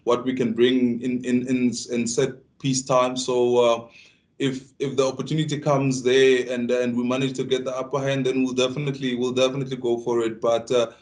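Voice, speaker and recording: Flat-voiced South African rugby player, halting. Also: male voice, mid-range voice. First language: Tsonga. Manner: halting, many restarts and repeated words. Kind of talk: press conference answers.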